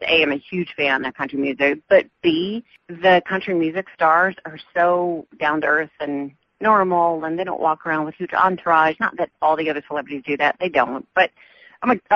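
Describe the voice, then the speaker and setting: Chatty woman with soft Southern accent. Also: low-pitched, dry recording, muffled recording. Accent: Southern US, softened. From American South. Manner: chatty, upbeat, laughs often, many fillers. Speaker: entertainment news reporter, guest. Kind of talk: radio interview.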